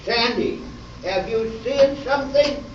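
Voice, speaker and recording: A slow, deadpan detective sergeant acted by a man narrates his case like old radio police drama. The voice sits high for a man and echoes from a stage, captured dull and from afar. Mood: deadpan, official. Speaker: amateur radio play actor. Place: live stage performance in a hall. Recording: echoey, distant, dull, noisy live stage recording.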